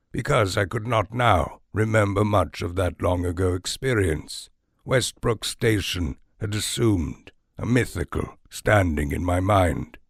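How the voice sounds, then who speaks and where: A man narrating scripted first-person literary fiction in a measured, deliberate, formal way. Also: low-pitched, gravelly, no room sound, studio quality. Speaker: storyteller narrator of an audio fiction series.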